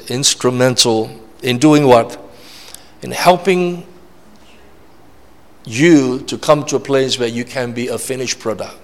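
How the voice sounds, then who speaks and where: A man's voice: medium pitch, a bit bright. A man from Malaysia, preaching a sermon in an emphatic, repetitive way and often checking in with the congregation. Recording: long reverb of a large hall.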